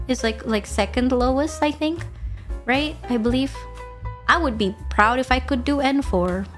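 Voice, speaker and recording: A young woman from Indonesia, streaming a casual chat, swearing freely, laughing often and answering her chat. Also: a little high.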